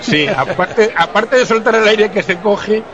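A man's voice: high-pitched, unhurried, highs cut off. A man chatting casually with companions over drinks. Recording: noisy crowded place, chatter and background noise.

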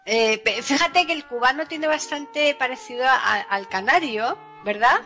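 A woman hosting a radio chat in a lively, teasing way. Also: sits high, faint music behind. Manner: lively, teasing, quick interjections. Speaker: radio show host.

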